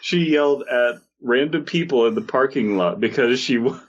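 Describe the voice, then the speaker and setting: A man's voice: mid-range voice, moderate pace, highs cut off, slight background noise. A man co-hosting a comedy podcast in casual banter, swearing freely and repeating phrases for comic effect as he rants. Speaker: podcast co-host.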